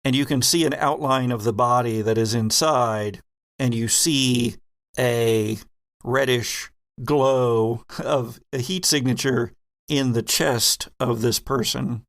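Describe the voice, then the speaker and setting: A man narrating a tabletop horror game as game master, telling it deliberately with suspenseful pauses. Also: medium pitch, fairly even intonation, dry recording, clean studio sound.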